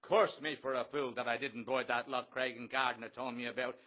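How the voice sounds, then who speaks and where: A man's voice: medium pitch, level tone, moderate pace, sharp tone, natural voice. A man playing a sadistic, domineering bully of a brothel boss, bombastic and gloating, sliding from wheedling to savage in a theatrical literary drama. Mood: angry bluster.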